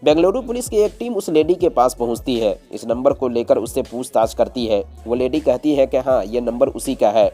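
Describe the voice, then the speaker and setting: Bright-voiced man narrating true crime. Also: medium pitch, animated, moderate pace, few pauses, soft background music. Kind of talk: scripted Hindi true-crime storytelling narration.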